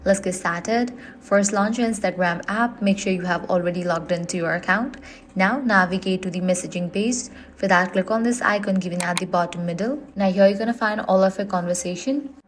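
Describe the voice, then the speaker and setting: A woman's voice: mid-range voice, a little fast, slight background noise. A woman reading a scripted how-to guide in an instructional, step-by-step manner. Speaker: tutorial narrator.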